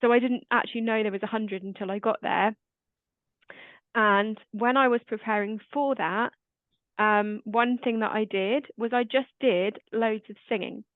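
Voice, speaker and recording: Woman, quiet calming voice, casual chat, thin phone-y sound, no room echo. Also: on the high side, normal speed. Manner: quiet, calm voice. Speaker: host. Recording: dry, tinny phone-like line.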